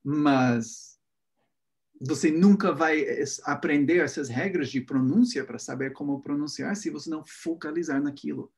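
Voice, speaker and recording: A man's voice: a little high, measured pace, gravelly. A man whose first language is English, an English teacher hosting a casual chat in Portuguese, playfully acting out words and pronunciations.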